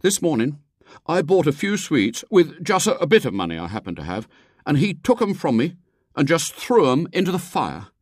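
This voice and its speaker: A storyteller voicing a scheming, self-pitying young boy in an audiobook, halting and colloquial. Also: mid-range voice, very animated, slightly rough, no echo, clean studio sound, voice pushed up. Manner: halting, mumbled hesitations, colloquial grammar.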